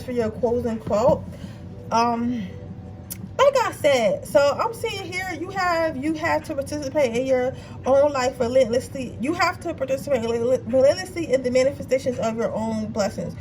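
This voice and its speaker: A woman giving a casual tarot reading, chatty and blunt, swearing freely and checking in with her viewers, her nose slightly stuffy. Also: fairly high pitch. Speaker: tarot card reader. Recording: distant mic with noisy background.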